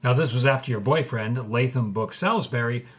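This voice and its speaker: An actor playing an earnest documentary host, introducing guests and clarifying details in scripted style. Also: male voice, medium pitch, a little fast, close to an everyday voice. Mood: measured, informative. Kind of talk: scripted hosting in an audio drama.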